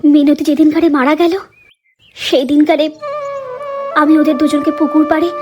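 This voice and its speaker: A woman's voice: high-pitched, little pitch movement, moderate pace, natural voice. A woman playing a guilt-ridden young village woman in a cartoon story, speaking in an earnest, insistent, confessional way. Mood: guilty confessing. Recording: music behind the voice.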